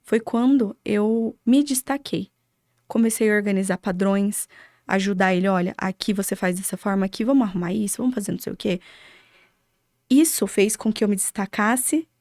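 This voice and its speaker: Casting: young Brazilian woman, mid-pitched, conversational and motivational interviewee, emphatic, dry close sound. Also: a little mellow, no echo. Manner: emphatic, asks and answers own rhetorical questions. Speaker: podcast guest, architect.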